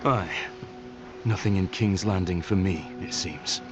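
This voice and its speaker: Earnest, blunt northern lord. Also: male voice, low-pitched, a little slow, highs cut off, music in the background. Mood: bitter, blunt. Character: earnest, blunt northern male noble of the Night's Watch. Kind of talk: dramatic fantasy game acting.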